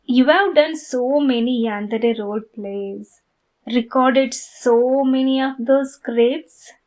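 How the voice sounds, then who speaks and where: Woman acting a slow, high-voiced, obsessed yandere girl. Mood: lovesick, teasing.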